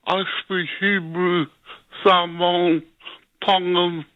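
A man calling in to a talkback radio show, speaking in a halting, hesitant way with many pauses. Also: sits high, level tone, no echo. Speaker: caller. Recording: tinny phone line.

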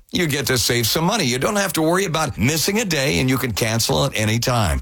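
A man hosting a radio infomercial, his voice a bit high and swinging widely as he sells. He speaks in a pushy, upbeat salesman's way, urging people to call right now. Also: fairly bright tone.

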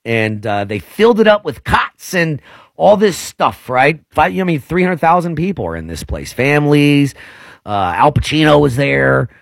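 A man in his forties from Indianapolis, hosting a casual radio talk show in an emphatic, animated way. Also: fairly high pitch, normal speed, creaky, no echo. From Indianapolis, United States. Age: 40s. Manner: animated, emphatic, says dude a lot. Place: radio studio.

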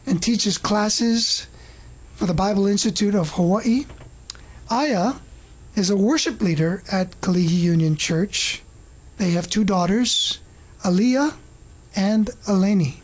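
Female host, slow and husky, earnest measured delivery. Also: medium pitch, a little mellow, poor audio. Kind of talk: scripted intro and interview questions.

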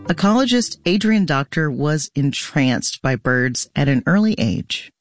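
A man's voice: on the high side, animated, a little slow, faint music behind. A man reading scripted narration as the host of a short radio nature program.

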